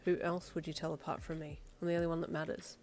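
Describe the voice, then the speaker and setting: Here is a cocky young boyfriend from a romance story, acted out by a woman. He is smug and playful, drawling his teases in a lowish register. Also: little pitch movement, fast talker, darkish tone, lightly acted. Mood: smug, teasing.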